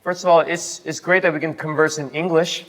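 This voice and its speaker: A man hosting a relaxed talk show, upbeat and chatty in a hype-style way. He leans on plenty of "um" and "you know" as he sets up questions. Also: a little high, fairly quick. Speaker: host. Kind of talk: casual interview hosting.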